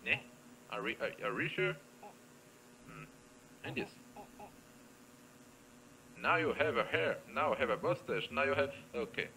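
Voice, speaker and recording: A man's voice: mid-range voice, measured pace, gravelly, pauses often, bright, forceful tone, slight background noise, rough recording. A man giving casual let's play commentary, thinking aloud in short, hesitant questions and playfully joking with the game's characters. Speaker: gamer playing a point-and-click adventure. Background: game music.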